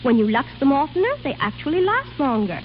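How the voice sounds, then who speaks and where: A woman's voice: high voice, sing-song, fairly quick. An actress playing a breezy, self-assured young salesgirl in a 1930s radio commercial. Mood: cheerful, proud. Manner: breezy, confident. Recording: muffled, hissy old radio broadcast.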